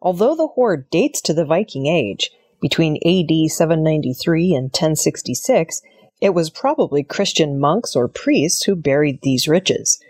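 A female news reader delivers scripted narration. Her voice sits a little low and has a husky grain. The recording is very dry, without room sound. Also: expressive, moderate pace, a little mellow, no room sound.

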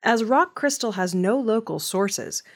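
A woman's voice: medium pitch, expressive, a little slow. A woman working as a news reader, delivering scripted news narration.

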